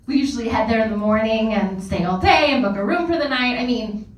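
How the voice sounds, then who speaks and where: A woman's voice: on the high side, brisk, natural voice. A woman playing an awkward, flustered, self-scolding character, rambling and correcting herself in a comedy table read. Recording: echoey room, distant mic.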